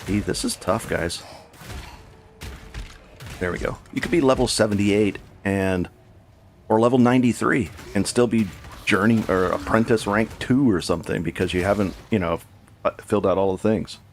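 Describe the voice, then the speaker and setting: Man, game streamer, low gravelly voice, rambling live commentary, faint hiss behind. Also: normal speed. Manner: rambling, self-correcting, chatty with viewers. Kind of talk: casual live gameplay commentary.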